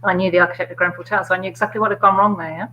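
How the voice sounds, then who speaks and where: An older woman with a London middle-class accent, chatting casually about politics with many fillers and quick laughs. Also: lowish voice, fairly even intonation, sharp tone, highs cut off, slight background noise. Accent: London middle-class English. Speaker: guest, former MP.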